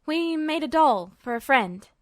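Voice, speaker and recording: A woman voicing a sharp, sarcastic teenage boy alchemist who explains things flatly in a story reading. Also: high-pitched, no room sound, studio quality. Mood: distant. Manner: flat, sardonic, quick to explain.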